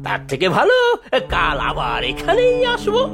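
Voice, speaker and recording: A woman's voice: on the high side, huge pitch swings, normal speed, over music. A woman voicing a brazen, scornful village thief in a cartoon, with a theatrical, sarcastic delivery. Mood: scornful, indignant. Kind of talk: animated cartoon dialogue.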